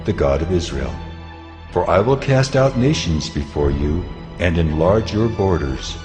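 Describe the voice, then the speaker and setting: Man acting a deep-voiced, stern God laying down law. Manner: stern, authoritative, declamatory. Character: God of Israel, solemn commanding male deity giving law. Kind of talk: dramatized scripture audio. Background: music.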